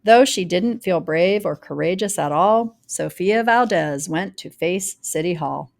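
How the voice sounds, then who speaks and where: A woman reads a rhyming children's storybook aloud, telling it in a dramatic, deliberate way with varied emphasis. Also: on the low side, expressive, vocal fry. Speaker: storybook reader. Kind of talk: expressive read-aloud of a rhyming children's picture book.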